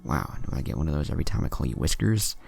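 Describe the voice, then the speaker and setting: A man's voice: rumbling low, expressive, very fast, vocal fry, a little mellow, exaggerated voice. A man voicing a kind, teasing teenage ninja girl in a story, with music behind. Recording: music under the voice.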